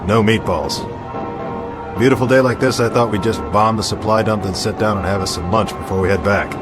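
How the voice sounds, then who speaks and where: An actor playing a confident, wisecracking bomber captain in a radio drama, breezy and commanding. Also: male voice, lowish voice, fairly quick, lightly acted. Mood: breezy, joking. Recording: loud music and engine drone behind. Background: loud music, aircraft engine noise.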